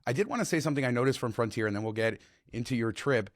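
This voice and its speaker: A man hosting a travel podcast, chatty and enthusiastic, his voice slightly hoarse, on a close podcast mic. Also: medium pitch, animated, very fast, dry recording. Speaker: podcast host. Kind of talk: casual travel podcast chat.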